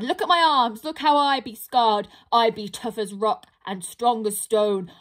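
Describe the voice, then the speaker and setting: A woman voicing a huge, greedy, boastful male troll who speaks slowly in broken grammar. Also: lightly acted. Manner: slow, rumbling, ungrammatical speech.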